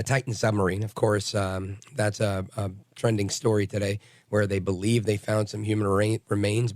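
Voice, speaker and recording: Hoarse, raspy male talk radio host. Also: fairly low pitch, darkish tone, dry recording, clean studio sound. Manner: blunt, combative, rambling with restarts. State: hoarse from bronchitis. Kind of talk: opinionated talk radio monologue.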